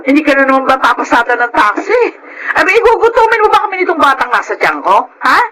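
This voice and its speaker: A woman's voice: high voice, big pitch swings, fast, bright, forceful tone, a little hiss or hum, heavily acted. A woman playing a brash, money-hungry pregnant mistress who scolds and exclaims in a Filipino radio drama. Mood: scolding, annoyed.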